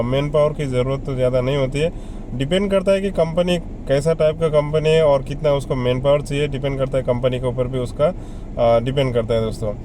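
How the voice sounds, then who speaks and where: A man's voice: fairly high pitch, dark tone, slight background noise. A man giving casual, conversational advice to viewers as a vlogger, often repeating himself and listing examples. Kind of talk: casual explainer addressed to viewers.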